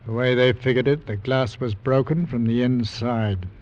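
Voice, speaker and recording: A gruff, wary sea captain who speaks in clipped, no-nonsense phrases, voiced by a man in a vintage radio play. His voice has a middle pitch. The old recording dulls the sound. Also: steady tone, moderate pace, a little mellow, lo-fi sound, natural voice. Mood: ominous. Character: gruff male ship captain, cautious. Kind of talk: 1940s radio acting.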